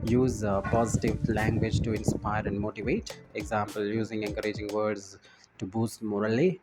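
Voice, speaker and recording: A man with a fairly low voice delivers a slide lecture as an instructor. He explains point by point in a didactic, halting manner, repeating key phrases, with music clearly playing behind him. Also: level tone. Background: background music.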